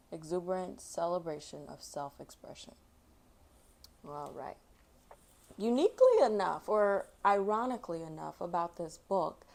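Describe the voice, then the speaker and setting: A woman hosting a storytime, reading aloud for children in an expressive way and chatting casually between passages. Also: on the low side. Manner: expressive, acts out sound effects and exclamations. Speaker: storytime host reading a picture book.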